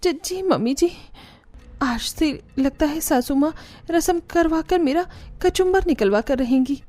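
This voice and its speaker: A woman's voice: high voice, expressive, a little slow, a little mellow, no room sound, pitched above the performer's own voice. A woman voicing a weary, grumbling young bride in a cartoon story.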